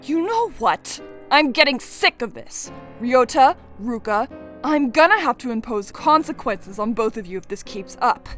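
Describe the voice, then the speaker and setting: Stern high-voiced young peacekeeper played by a woman. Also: lively intonation, a little slow, a bit bright, faint music behind. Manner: commanding, scolding. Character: stern young female peacekeeper, firm and exasperated. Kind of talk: audio drama acting.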